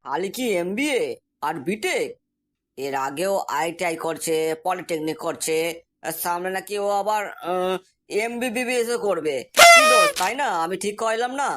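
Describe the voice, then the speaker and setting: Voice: medium pitch, wildly swooping pitch, moderate pace, background noise. An actor voicing a boastful, cocky young man in a comic cartoon, talking in a breezy, insistent, colloquial dialect. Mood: bragging. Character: boastful, cocky young man exaggerating to impress his friend's prospective father-in-law.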